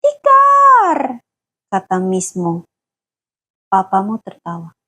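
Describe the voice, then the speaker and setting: Woman storyteller, children's tale, gentle, slow, husky. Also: medium pitch, huge pitch swings. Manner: gentle, explains words to a child.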